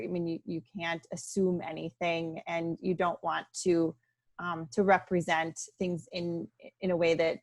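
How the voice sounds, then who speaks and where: A woman language teacher on a video call panel, earnest and filling pauses with um and you know. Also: fairly low pitch, no room sound. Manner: earnest, with frequent ums and you-knows. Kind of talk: webinar panel discussion.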